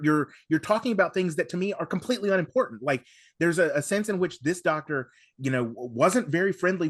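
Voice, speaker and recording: A man in a casual podcast discussion, with an ordinary mid-range pitch. He talks in a discursive, analytical way, building his point as he goes. The sound is close and dry. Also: dry recording. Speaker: podcast panellist. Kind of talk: casual discussion of an audio drama.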